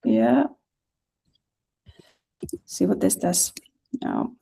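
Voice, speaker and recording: A middle-aged woman from Iceland with an Icelandic accent, giving an informal presentation on a video call, hesitant and pausing often. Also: medium pitch, huge pitch swings, creaky, mellow, husky. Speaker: guest speaker, national music office director.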